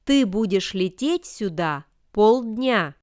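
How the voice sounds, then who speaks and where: A woman reading example sentences for language learners with careful, deliberate enunciation. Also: medium pitch, expressive, a little mellow, no echo, studio quality. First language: Russian. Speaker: language lesson reader.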